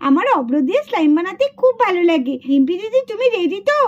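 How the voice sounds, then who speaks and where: A woman voicing a bossy, chatty, excitable schoolgirl doll in a children's puppet cartoon. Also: extremely high pitch, fast talker. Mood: eager. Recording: dull lo-fi sound.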